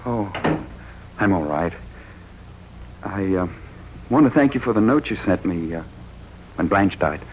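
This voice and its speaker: An actor playing a tormented, murderous husband in a melodramatic, menacingly polite 1940s radio style. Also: male voice, sits low, expressive, measured pace, pauses often, lo-fi sound. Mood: hesitant, pleading. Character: tormented murderous husband, smooth then crazed. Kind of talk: 1940s radio drama acting. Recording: noisy vintage 1940s radio recording.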